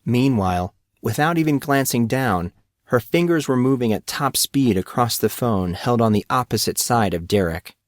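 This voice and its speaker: A man's voice: medium pitch, animated, normal speed, dry recording, studio quality. A man reading a story aloud as scripted audiobook narration.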